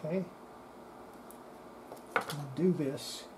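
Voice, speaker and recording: A man giving an unscripted hands-on repair demonstration, talking haltingly and half to himself as he works. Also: a little high. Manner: halting, muttering to himself while working. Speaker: hobbyist restorer presenting a repair. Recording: steady background noise.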